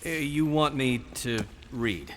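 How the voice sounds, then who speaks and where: A man's voice: mid-range voice, expressive, slow, fairly bright tone, a little hiss or hum. An actor playing a busy, puzzled modern father in an audio drama, hesitant and flustered.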